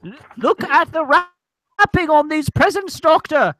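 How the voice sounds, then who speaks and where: High, pestering radio-play character, acted out. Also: expressive, a little slow, bright, forceful tone, no echo, exaggerated voice. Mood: insistent. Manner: repetitive, pestering. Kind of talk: comic old-time radio play parody.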